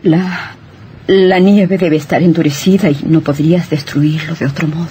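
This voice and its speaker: An actress playing a fearful, insistent young newlywed wife in an old-time radio horror drama, speaking haltingly and repeating herself. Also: female voice, low-pitched, steady tone, warm tone, a little hiss or hum, natural voice. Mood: tense, insistent.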